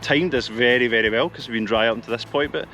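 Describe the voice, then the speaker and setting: A man's voice: mid-range voice, fast, bright, forceful tone, soft background music. A middle-aged Scottish man with an easygoing, rambling way of talking and dry humour, co-hosting a hiking vlog outdoors in the hills. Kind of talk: casual outdoor chat. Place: mountainside outdoors.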